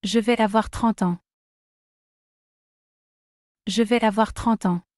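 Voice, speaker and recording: Woman slowly modeling drill phrases. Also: medium pitch, steady tone, a little mellow, no echo, clean studio sound. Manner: careful, deliberate enunciation. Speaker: pronunciation model. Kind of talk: slow repeated drill phrases.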